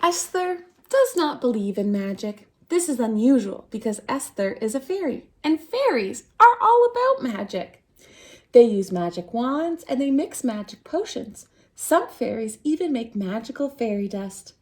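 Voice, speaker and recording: A woman reading a children's picture book aloud as a storytime host, expressively, with theatrical, drawn-out emphasis on key words. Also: on the high side, big pitch swings. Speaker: storytime reader at a dance centre.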